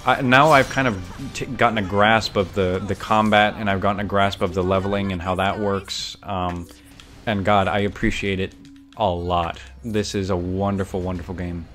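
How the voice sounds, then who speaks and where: Casting: a low-voiced young male streamer, bookish and rambling, with faint game music behind him. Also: fairly even intonation, normal speed, a bit bright. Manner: rambling, self-correcting, bookish. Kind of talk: casual gameplay commentary.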